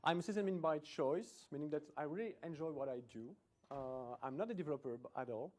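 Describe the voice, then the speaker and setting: A middle-aged man with a Belgian accent gives a relaxed technical talk in a lecture room. His voice sits a little high for a man. He jokes at his own expense and often breaks off and restarts his sentences. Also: brisk. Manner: chatty, self-deprecating, many restarts. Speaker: conference speaker.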